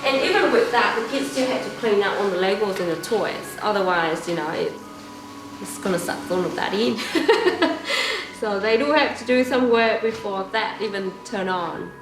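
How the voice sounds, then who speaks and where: A woman talking in a practical, lively way about her home in an interview. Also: mid-range voice, normal speed, over music. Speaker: householder interviewee. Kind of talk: casual interview answers. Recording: echoey room.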